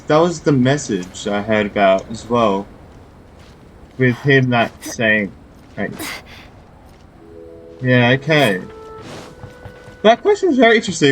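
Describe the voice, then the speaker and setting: A man's voice: mid-range voice, a little hiss or hum, rough recording. A young man giving casual, rambling, informal commentary as he plays through a video game. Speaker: gaming content creator. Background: faint game music.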